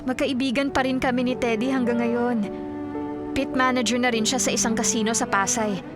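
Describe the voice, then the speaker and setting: A woman's voice: on the high side, fairly even intonation, fairly quick, natural voice. An actress playing a devoted, caring career woman in a Filipino radio drama, speaking earnestly with a Filipino accent. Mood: friendly. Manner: earnest, solicitous. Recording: loud music behind the voice.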